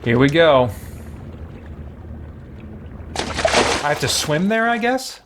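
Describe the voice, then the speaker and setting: A man's voice: a little high, very animated, slow, background noise. A man giving casual live commentary as he plays a video game, thinking aloud in a wry way. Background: video game music.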